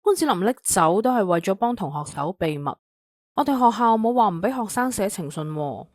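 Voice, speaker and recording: An actress playing an indignant schoolteacher standing up for students in an audio drama, with a Hong Kong Cantonese accent. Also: female voice, mid-range voice, lively intonation, fairly quick, dry recording, studio quality, natural voice.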